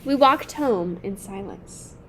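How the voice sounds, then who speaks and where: A woman's voice: mid-range voice, animated, slow pace, a little hiss or hum, pitched below the performer's own voice, low-quality recording. A woman voicing a haughty, bossy, well-to-do mother in a picture book, prim and indignant. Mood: fretful, commanding. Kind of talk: children's picture book read-aloud.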